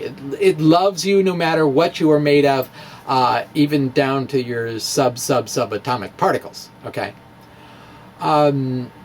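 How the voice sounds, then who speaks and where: A man's voice: fairly high pitch, slight background noise. A man giving an informal physics talk, chatty and self-amused, with frequent fillers and little chuckles at his own jokes. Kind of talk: informal physics lecture at a chalkboard.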